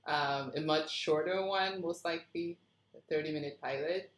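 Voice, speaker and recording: A woman's voice: low-pitched, moderate pace, roomy sound, slight background noise. A woman hosting a casual group discussion as the writer of a script, talking over a video call. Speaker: writer hosting a script table read feedback session.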